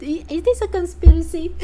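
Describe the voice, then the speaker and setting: A woman's voice: extremely high pitch, moderate pace, dark tone, slight background noise. A woman hosting a gaming video with casual, playful playthrough commentary. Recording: music under the voice.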